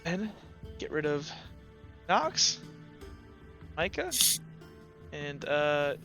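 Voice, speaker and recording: A man's voice: fairly high pitch, big pitch swings, extremely slow, bright, forceful tone, dull audio, faint music behind. A man as game master, narrating a tabletop game in a chatty, informal, loosely improvised way.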